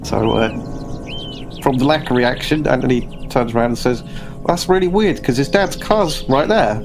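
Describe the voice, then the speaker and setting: A man's voice: medium pitch, moderate pace, over music. A man playing a tabletop roleplaying game, talking casually in and out of character. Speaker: player.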